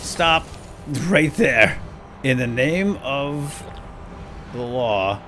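Guy doing roleplay let's play, slow pace but really animated, kinda hammy, noisy game sounds behind him. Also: mid-range voice. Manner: theatrical, playful, talks to his in-game companion. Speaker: gaming video host. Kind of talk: lightly role-played let's play commentary. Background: game ambience and effects.

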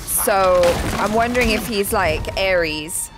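A woman's voice: medium pitch, slow, loud music behind the voice, poor audio. A young woman giving casual, playful, slangy commentary as she watches game cutscenes. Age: young adult. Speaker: reaction show host. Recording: loud dramatic game soundtrack behind.

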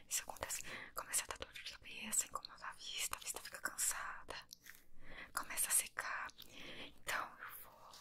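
A young woman with a Brazilian accent plays an optician in an ASMR roleplay. She whispers very close to the microphone in an unhurried, soothing way. The breathy sound is sharply bright, with some crackle in it. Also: very deep voice. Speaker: ASMR creator playing an optician. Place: small indoor room. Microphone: close desktop binaural microphone with foam cover.

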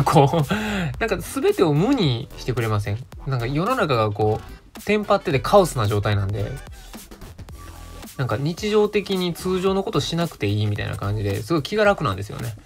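A man around thirty with a Kansai accent, hosting a casual radio show as a solo monologue. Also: on the high side.

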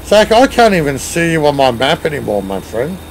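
A man's voice: fairly high pitch, very animated, fairly quick, a little hiss or hum, poor audio. A middle-aged Australian man with an Australian accent, streaming a truck game on a headset, bantering and thanking viewers in a casual, swearing way. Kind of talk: casual gaming chat. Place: home gaming room, indoors.

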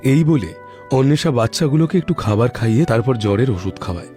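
Male narrator for a cartoon tale, medium pitch, scripted storytelling over prominent music. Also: expressive, fast talker, dark tone.

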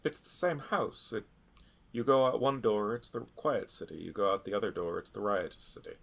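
Gravelly, dry-humored man. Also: fairly low pitch, a little fast, a bit bright. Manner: dry, understated. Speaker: tabletop game player. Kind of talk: casual role-play chat.

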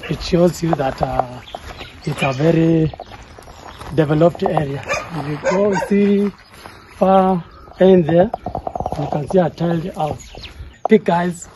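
A middle-aged man from Kenya chatting casually as a travel vlogger, outdoors in a rural village with a handheld camera, often pausing on fillers. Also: a little high, steady tone. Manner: chatty, many fillers and restarts. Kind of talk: casual vlog narration. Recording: distant mic, loud outdoor noise.

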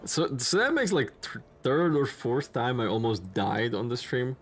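A man's voice: mid-range voice, very animated, unhurried. Rambling young man with a slavic accent. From Czech Republic or Slovakia. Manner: rambling, self-interrupting with fillers and restarts. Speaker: game streamer. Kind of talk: casual gameplay commentary. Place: home room in front of a gaming chair. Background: faint game music.

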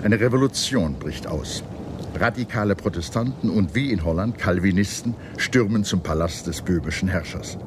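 A man reads scripted historical documentary narration in a measured, formal manner. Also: low voice, expressive, background noise.

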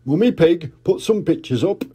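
A man's voice: fairly high pitch, lively intonation, moderate pace, warm tone, husky, pitched above the performer's own voice. An older man voicing a jolly, bumbling father pig in a read-aloud storybook, hearty and a little sheepish.

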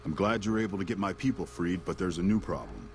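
A weary army lieutenant in a video game, really low voice, earnest, some noise behind. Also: male voice, animated, fast, vocal fry. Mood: grave. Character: weary military lieutenant, dutiful and conflicted. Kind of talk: video game dialogue acting.

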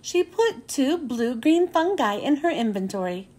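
A woman narrates a storybook for young children in a notably high voice. Her intonation swings up and down in a lively way. She takes it slowly, phrasing each line with care and expression. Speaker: children's book reader and host.

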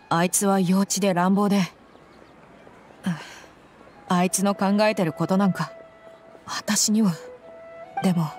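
A woman's voice: mid-range voice, close to an everyday voice. Woman playing a blunt, tough young mercenary woman. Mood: restrained, resolved. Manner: blunt, terse, restrained. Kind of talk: anime game voice acting. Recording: soft music behind the voice.

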